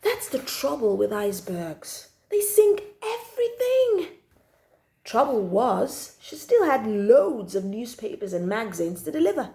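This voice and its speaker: Slow, high-voiced woman reading a storybook. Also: very animated, vocal fry, mellow. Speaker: storyteller reading a children's book aloud on own channel. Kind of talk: expressive children's storybook read-aloud.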